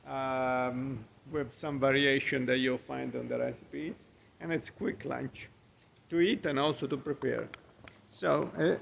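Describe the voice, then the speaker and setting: A middle-aged man with a clear Italian accent, talking in a slow, unhurried way. His voice has a gravelly, creaky edge. He stops often to hesitate and hunt for English words, with faint outdoor ambience behind him. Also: a little high, darkish tone, slight background noise. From Italy. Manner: rambling, frequent ums and uhs, hesitant English. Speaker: chef. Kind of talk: casual cooking vlog walk-through. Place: outdoors by the Gulf of Mexico in Florida.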